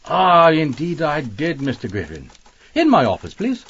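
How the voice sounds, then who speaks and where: An actor playing a genteel, scheming British publisher in a radio mystery, speaking in an urbane, theatrical, condescending drawl. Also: male voice, a little high, wildly swooping pitch, moderate pace, a bit bright, husky, heavily acted. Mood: courtly. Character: genteel, scheming widowed male publisher, calmly plotting murder. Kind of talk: radio mystery drama acting. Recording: dull band-limited audio with music.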